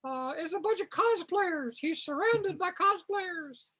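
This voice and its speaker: A woman with a very high voice describes scenes in a slow, improvised fashion. She sounds wry and amused, casual in her narration. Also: animated, fairly bright tone. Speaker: narrator of a comic reading.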